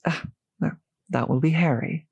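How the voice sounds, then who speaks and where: A woman playing a courteous, gentle centuries-old vampire host in a roleplay audio drama, speaking politely and slightly formally to the listener, with soft hesitations. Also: low voice, moderate pace, gravelly, dull audio, slight background noise. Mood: polite, reassuring. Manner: polite, softly hesitant, old-fashioned genteel phrasing.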